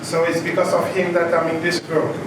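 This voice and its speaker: Man from Cameroon, high voice, earnest grateful speech, distant mic, very echoey noisy room. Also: completely flat delivery, fairly quick. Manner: earnest, grateful, rambling anecdotes. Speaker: safety professional giving a speech. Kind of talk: speech to a gathering. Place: large echoey event space.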